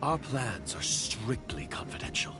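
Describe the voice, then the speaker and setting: Stern agent, very animated pitch. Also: male voice, a little slow. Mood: cautious, disdainful. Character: stern, cautious male secret agent, disdainful. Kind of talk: video game voice acting. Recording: music behind the voice.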